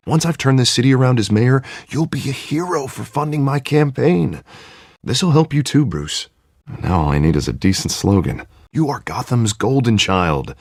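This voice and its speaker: Smooth, lively politician. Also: male voice, medium pitch, normal speed, no room sound, clean studio sound. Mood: upbeat persuasive. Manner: chummy, glib politician's patter. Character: smooth, affable district attorney running for mayor. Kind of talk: video game dramatic acting.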